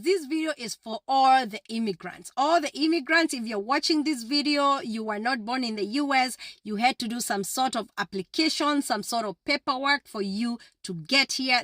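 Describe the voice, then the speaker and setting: Female, high-pitched, emphatic motivational vlogger with repetitive exhortations, dry recording. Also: a bit bright, no echo. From Kenya. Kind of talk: motivational direct address to viewers.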